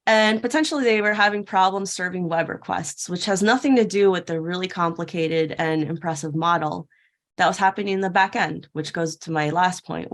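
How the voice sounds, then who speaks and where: Woman, keynote speaker, slightly low pitch, prepared talk, storytelling delivery, playful, rhetorical questions. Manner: storytelling, poses rhetorical questions and answers them. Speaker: keynote speaker at a tech conference. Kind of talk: prepared conference talk told as a fairytale parable.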